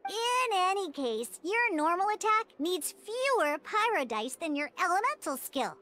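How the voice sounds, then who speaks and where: A woman playing a small, bubbly, childlike girl companion in a video game, with a squeaky voice and a perky, encouraging way of explaining. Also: very animated, measured pace, bright, forceful tone, slight background noise, heavily acted. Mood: cheerful, instructive. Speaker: game tutorial guide. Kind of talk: animated video game character tutorial dialogue.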